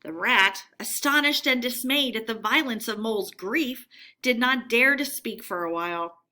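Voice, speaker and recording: A woman narrating a storybook read-aloud in an expressive, measured, teacherly way. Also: fairly high pitch.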